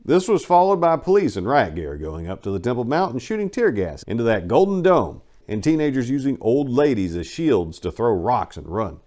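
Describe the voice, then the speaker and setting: Animated man reading a story dramatically. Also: medium pitch, moderate pace, few pauses. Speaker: host and story reader. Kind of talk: dramatic first-person memoir reading.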